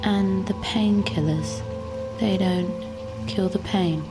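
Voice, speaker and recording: Soothing woman lover: mid-pitched, unhurried, gentle with quiet unease, music behind. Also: steady tone. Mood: soothing, probing. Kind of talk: audio fiction acting.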